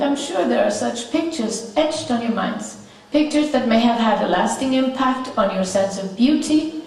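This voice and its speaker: A woman with an Icelandic accent giving a prepared conference talk, measured and pausing often with "uh" fillers. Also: a little high, a little mellow. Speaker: conference speaker. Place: conference hall. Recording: echoey hall, mic at a distance.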